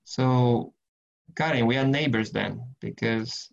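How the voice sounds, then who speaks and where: Gravelly man leading Bible study. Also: mid-range voice, steady tone, slow pace, lo-fi sound. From Brazil. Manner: earnest, rambling, frequent restarts and fillers. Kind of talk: casual teaching and prayer in an online group session.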